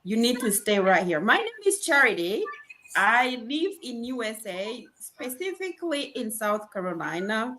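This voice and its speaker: A woman from Uganda with a Ugandan accent introducing herself as a guest on a live online panel, enthusiastic and deliberate. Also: a little high, sing-song, fairly bright tone. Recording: noisy video call audio.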